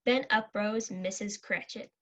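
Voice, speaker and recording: A woman's voice: mid-range voice, fairly even intonation, unhurried. A young female student giving scripted storybook narration in an amateur readers' theatre. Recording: dull lo-fi recording.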